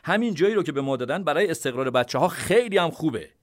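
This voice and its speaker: A man reading an audiobook memoir, voicing a firm, decisive male military commander who speaks in a measured, insistent way. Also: mid-range voice, animated, pitched above the performer's own voice, lightly acted.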